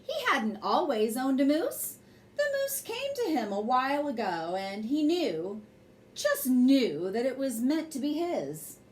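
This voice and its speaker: A woman reading a children's picture book aloud as a bedtime story, in an expressive, measured way. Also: sits high.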